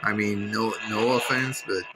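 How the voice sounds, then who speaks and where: A man's voice: fairly low pitch, steady tone, unhurried, over music, poor audio. A man chatting casually and off the cuff as he tests a radio, rambling to himself in slangy talk. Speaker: shortwave radio hobbyist filming a scanning video. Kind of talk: casual off-the-cuff chat while testing a radio. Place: indoors at a desk. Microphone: handheld phone. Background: shortwave radio noise.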